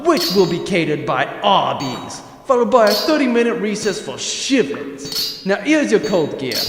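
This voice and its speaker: A man's voice: high voice, big pitch swings, measured pace, slight background noise. A man playing a sneering prison guard in reverb. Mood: sneering, bullying. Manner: mock-courteous, insulting. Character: gruff sneering male prison guard, smug and bullying. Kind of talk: comedic sci-fi audio drama. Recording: echoey reverberant room, music underneath.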